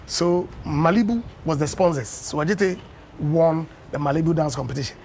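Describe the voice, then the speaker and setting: A Ghanaian man in his fifties, a former dance champion, tells unhurried stories as a guest on a breakfast TV interview, often adding "you know.". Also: on the high side, a little mellow, a little hiss or hum. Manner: anecdotal storyteller, unhurried with many "you know"s. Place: television studio.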